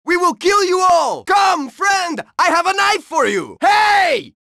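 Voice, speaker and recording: Game henchman: high-pitched, gravelly yelling, menacing and hot-tempered. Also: very animated, slow pace, no room sound, clean studio sound, exaggerated voice. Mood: aggressive, urgent. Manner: aggressive, shouted, threatening. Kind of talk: video game combat barks.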